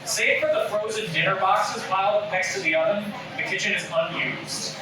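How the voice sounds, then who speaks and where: High-voiced man reading stage directions, echoey room. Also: moderate pace. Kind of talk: scripted narration at a table read. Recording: echoey room, distant mic, noisy.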